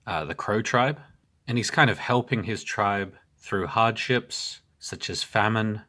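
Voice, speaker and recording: A man with a cold reviewing short stories in a relaxed, plain-spoken way, with fillers and hedging asides. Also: low-pitched. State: has a cold. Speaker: book reviewer. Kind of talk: casual book review monologue.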